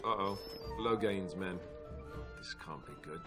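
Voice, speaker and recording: A wary, low-voiced adventurer. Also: male voice, measured pace. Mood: wary, uneasy. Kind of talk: fantasy game voice acting. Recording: hall reverb, music behind.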